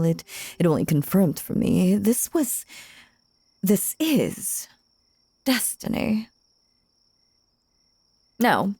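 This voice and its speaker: A woman playing a sinister, possessive stage magician in a dramatic audio roleplay, with breathy, coaxing, theatrical delivery and syrupy menace. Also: lowish voice, dark tone, no echo, studio quality, full character voice.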